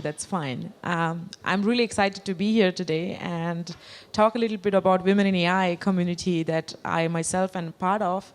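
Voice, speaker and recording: A woman with an Indian accent speaks at a conference, pausing often with fillers and putting questions to the audience. Her voice sits at a middle pitch. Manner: hesitant, many fillers, asks the audience. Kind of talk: conference talk. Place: conference hall.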